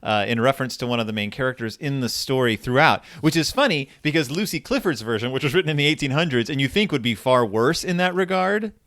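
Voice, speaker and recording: Man with animated, swooping pitch chatting about books. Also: quick pace, hardly any pauses, no echo, clean studio sound. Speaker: podcast host. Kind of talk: casual book discussion.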